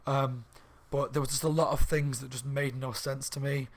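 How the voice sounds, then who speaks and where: A slightly hoarse man reviewing a book in a rambling, casual chat at home, with lots of ums and restarts. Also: mid-range voice, level tone, moderate pace. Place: room at home by a window on a main street.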